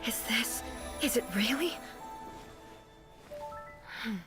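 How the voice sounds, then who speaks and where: A woman's voice: on the high side, slow pace, over music. A woman playing a stoic, dignified goddess ruler in a video game, restrained, formal and solemn in delivery. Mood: stunned, sorrowful. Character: stoic, dignified female deity ruler, reserved, proud. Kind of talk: scripted video game voice acting.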